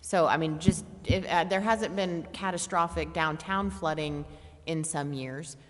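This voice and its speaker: A woman in her forties speaking informally and haltingly at a public meeting. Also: sits low. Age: 40s. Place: council chamber. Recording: reverberant hall.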